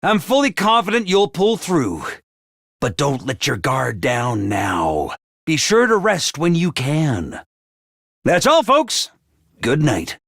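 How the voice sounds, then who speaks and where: High bright squeaky commander, pompous speeches, slow and drawn out. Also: male voice, wildly swooping pitch, no room sound, clean studio sound, exaggerated voice. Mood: upbeat. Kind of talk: game voice lines.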